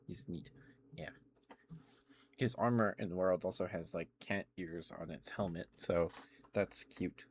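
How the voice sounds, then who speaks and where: A man's voice: low voice, measured pace, long pauses, slight background noise. A man live streaming casual, rambling ASMR chat about games, often hesitating and correcting himself.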